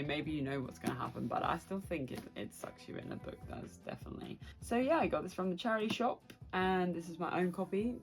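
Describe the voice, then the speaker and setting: A young British woman chatting casually and excitably to her viewers as a book vlogger. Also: low voice, vocal fry. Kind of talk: casual chatty vlog to camera.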